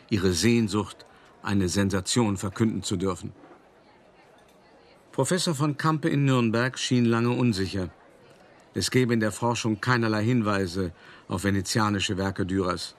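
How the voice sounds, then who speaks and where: Low-voiced, urbane male art dealer. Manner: measured, deliberate, articulate storytelling with pauses. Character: urbane, self-satisfied male art dealer recounting his schemes. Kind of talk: radio play monologue, narrated confession.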